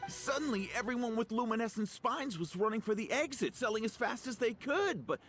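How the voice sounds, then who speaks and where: High-voiced, nervy small-time trader. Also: male voice, expressive, a little fast, fairly bright tone, soft background music. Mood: flustered. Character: nervous, doubtful small-time trader, flustered and contrite. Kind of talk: scripted video game voice acting.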